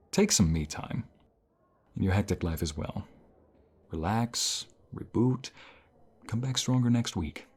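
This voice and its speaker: A man playing a caring, encouraging college professor in an intimate ASMR role play, speaking softly in a gentle, unhurried, reassuring way. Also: on the low side, slightly rough, full character voice. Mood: light, caring. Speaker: voice actor in an audio role play.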